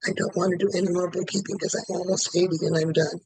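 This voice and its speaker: A man in his late seventies speaks in a high, flat voice, close and dry. He repeats the same statement again and again at a brisk, steady clip as a client in a tapping session. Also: vocal fry, slightly rough, no echo, rough recording. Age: 70s. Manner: repeats one statement over and over in a quick, even rhythm.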